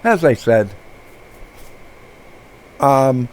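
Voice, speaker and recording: Slow-talking hoarse man hosting a gameplay channel. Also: medium pitch, sing-song, low-quality recording. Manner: rambling, many fillers and restarts. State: hoarse, losing his voice. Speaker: gaming channel host. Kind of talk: casual gameplay commentary.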